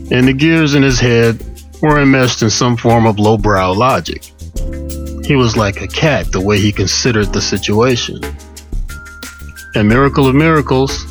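A man telling a first-person story in a wry, colloquial, measured way, as on a radio show. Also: lowish voice. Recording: music under the voice. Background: music bed.

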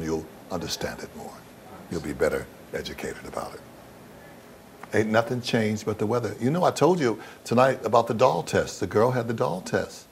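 A low-pitched man answers interview questions candidly and bluntly. He leans on his words with emphasis and repeats phrases to drive them home. There is some background noise under the voice.

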